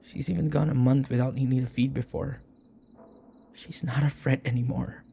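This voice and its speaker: A man acting in an intimate audio roleplay as a devoted young human servant, speaking in a soft, halting, tender and pleading way. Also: medium pitch, steady tone, gravelly, slight background noise, natural voice.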